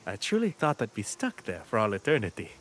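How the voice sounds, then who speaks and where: An actor playing a proud, energetic desert warden in a game, exclaiming as he speaks. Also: lowish voice, fairly quick. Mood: urgent. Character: brave male desert warden, eager and proud. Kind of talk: video game cutscene acting.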